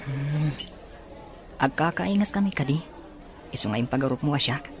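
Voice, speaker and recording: A man's voice: a little high, lively intonation, moderate pace. A man with a Filipino accent acting an earnest, respectful young man in an audio drama. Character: earnest young man searching for his twin, respectful son.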